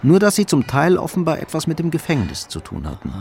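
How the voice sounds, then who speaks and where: A man's voice: a little high, huge pitch swings, fast talker. A man narrating a crime radio play in a cool, matter-of-fact, dry way.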